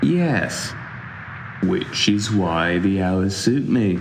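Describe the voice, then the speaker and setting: A man plays a cynical young brother in an audio drama, slightly low in pitch and drily sarcastic. He is aimless and quick with wordy comebacks. The room is very echoey, with faint noise behind him. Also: expressive, gravelly, exaggerated voice. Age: young adult. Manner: dry, sarcastic, wordy wit. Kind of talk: naturalistic audio drama dialogue.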